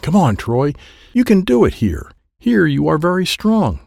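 A harried dad voiced by a man speaks in an audiobook scene. He is urgent and exclamatory, with very lively pitch and some creak in the voice. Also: moderate pace, no room sound, studio quality. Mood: urgent encouragement. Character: harried, urgent father of a young boy. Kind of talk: audiobook character dialogue.

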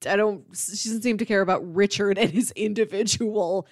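A woman's voice: medium pitch, steady tone, normal speed, no room sound, clean studio sound. A woman co-hosting a podcast, chatting casually and conversationally about film.

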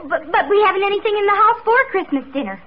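A chirpy, fretful housewife with a high, lilting voice full of movement. It is expressive radio comedy acting on a muffled, noisy old broadcast. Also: female voice, fast. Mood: fretful, sympathetic.

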